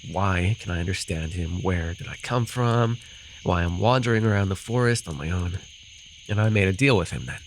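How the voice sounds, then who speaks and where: A man's voice: fairly low pitch, lightly acted. A man acting a rambling, amused forest fae in a fantasy ASMR roleplay, telling his tale with hesitant, apologetic asides. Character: rambling, amused male forest-dwelling fae storyteller with bird companion. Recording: noisy with cricket and insect ambience. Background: night forest ambience with crickets and insects.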